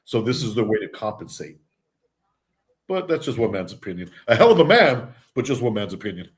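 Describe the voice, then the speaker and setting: A man with a loud voice speaking forcefully in a casual panel chat. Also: fairly low pitch, very animated, a little fast.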